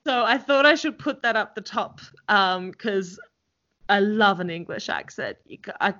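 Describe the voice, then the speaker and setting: A woman's voice: mid-range voice, animated, unhurried, bright, forceful tone, dull audio. A woman with a Melbourne Australian accent hosting a podcast in a bubbly, chatty way. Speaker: podcast host. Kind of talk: casual interview chat.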